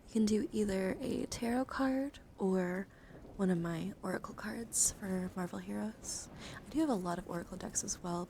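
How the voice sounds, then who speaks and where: Whispering woman streamer, noisy background. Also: medium pitch. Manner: alternates soft whispering with hushed talk. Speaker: livestream host. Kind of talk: whispered ASMR chat. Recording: clear background noise on livestream.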